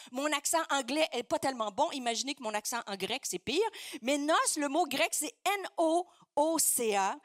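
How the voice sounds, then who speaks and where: High-voiced Québécois woman preaching. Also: fairly bright tone, dry recording, studio quality. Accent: Québécois French. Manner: emphatic, declaratory, with hesitant fillers. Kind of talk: sermon.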